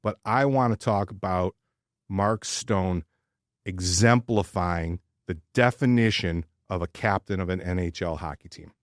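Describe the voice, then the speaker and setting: A man hosting a casual sports podcast, speaking emphatically with deliberate pauses. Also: low-pitched, no echo, studio quality. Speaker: podcast host. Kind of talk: casual sports podcast talk and personal ad read.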